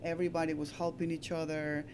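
A woman's voice: low voice, level tone, fast talker, background noise. A woman from Peru with a Peruvian Spanish accent, chatting casually as a shop co-owner in an interview.

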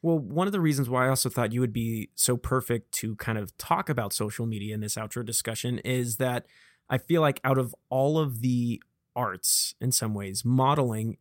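A man's voice: mid-range voice, moderate pace, dry recording, clean studio sound. A young man from California with a Californian accent, chatting casually as a podcast host. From Vacaville, California. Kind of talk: casual conversational interviewing.

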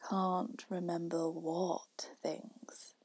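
A woman voicing a curt, contrary, sleepy caterpillar in a storybook, with slow, drawn-out, terse delivery. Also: lowish voice, little pitch movement, darkish tone, breathy edge, no room sound, full character voice. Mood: languid, disdainful. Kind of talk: storybook reading.

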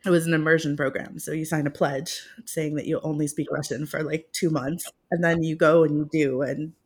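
A woman's voice: deep voice, level tone, a little fast, breathy edge. A woman talking about her work as a professor in a casual, self-deprecating way, laughing now and then. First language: English. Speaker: guest, Russian literature professor. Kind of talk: conversational interview.

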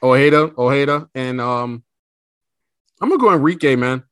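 A man's voice: mid-range voice, lively intonation, normal speed, fairly bright tone, smooth, no room sound, studio quality. A man hosting a sports podcast, playful and slangy, often repeating his phrases. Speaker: podcast host. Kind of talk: casual sports chat.

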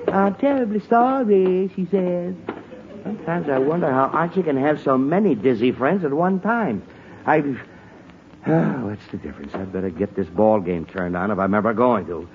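Male actor as blustery, exasperated sitcom father, very animated delivery, old muffled radio sound. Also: mid-range voice, moderate pace, close to an everyday voice. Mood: grumbling. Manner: blustery, sighing, sarcastic muttering. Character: exasperated, hot-tempered middle-aged father. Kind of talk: 1940s radio comedy acting. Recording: muffled, noisy 1940s radio recording.

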